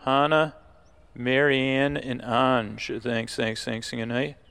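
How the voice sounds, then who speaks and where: A man with a creaky voice hosting a sleep podcast, giving low-key, rambling thanks and announcements to listeners. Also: little pitch movement, slow pace, fairly bright tone. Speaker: podcast host.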